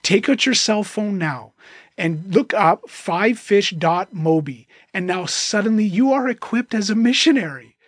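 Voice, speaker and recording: A man's voice: sits high, lively intonation, measured pace, husky, no room sound. A man hosting a podcast, speaking in an upbeat, encouraging way directly to listeners. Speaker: host.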